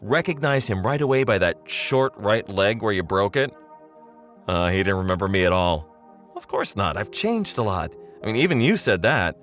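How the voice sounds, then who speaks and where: An old pal in a radio-style drama, speaking straight to the listener in a lowish, very lively voice. He is chummy and rambling, with a needling familiarity that carries veiled menace. Music plays faintly behind him. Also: normal speed. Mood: reminiscing, needling. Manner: conversational, rambling, chuckling, pointedly familiar. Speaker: actor in an audio drama. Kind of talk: audio drama monologue spoken directly to the listener as an old friend.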